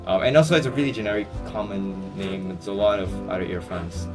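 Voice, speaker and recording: A man's voice: fairly low pitch, fairly quick. A young man from Singapore, chatting casually and jokingly as he answers viewer questions, full of slang and fillers. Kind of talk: casual Q&A chat. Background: guitar music.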